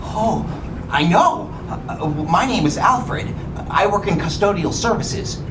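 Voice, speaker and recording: A man's voice: sits high, lively intonation, slow pace, background noise, heavily acted. A man playing an earnest, good-hearted janitor in a musical puppet show, with an eager, guileless manner. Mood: sheepish. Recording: distant, echoey room, loud show music.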